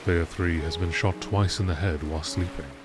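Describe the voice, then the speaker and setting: A man reading game lore as scripted nature-documentary narration, in a measured, deliberate and formal manner. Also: sits low, fairly even intonation, loud music behind the voice.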